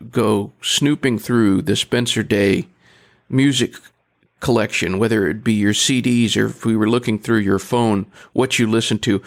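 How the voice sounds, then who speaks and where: A man hosting an interview, asking measured, curious questions. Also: medium pitch. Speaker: interview host. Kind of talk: casual interview conversation.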